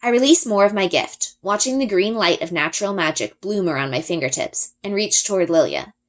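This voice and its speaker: A woman reading a children's story aloud as an expressive first-person narrator. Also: medium pitch, fairly quick. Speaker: reader.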